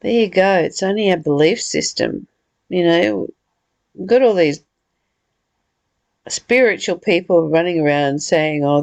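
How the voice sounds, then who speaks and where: A woman hosting a spiritual study, reading aloud and reflecting casually in a halting, deliberate way. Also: medium pitch, vocal fry, no room sound. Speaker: host of a spiritual book study.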